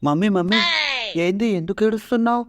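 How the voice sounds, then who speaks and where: A man's voice: high-pitched, fast talker, lightly acted. A man voicing a childish, forgetful young warrior prince who wheedles cheerfully in a parody cartoon. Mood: childish pleading. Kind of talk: Telugu parody cartoon dubbing.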